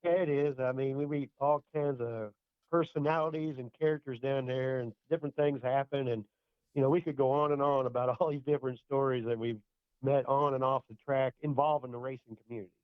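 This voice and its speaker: A man's voice: mid-range voice, fairly even intonation, fairly quick, smooth, dry recording. A man co-hosting a podcast, agreeing easily in casual chat. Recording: tinny phone-like line.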